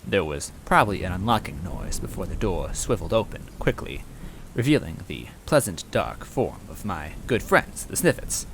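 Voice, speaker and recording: Deep-voiced man narrating a comic story. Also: big pitch swings, moderate pace, fairly bright tone, poor audio. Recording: rain noise and game music behind.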